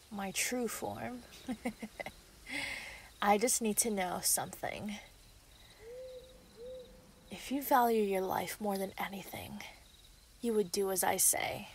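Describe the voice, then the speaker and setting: A woman's voice: mid-range voice, creaky, a little hiss or hum. A woman voicing a menacing, taunting female werewolf in an ASMR roleplay audio drama, often dropping toward a whisper. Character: gleeful, menacing female yandere werewolf villain.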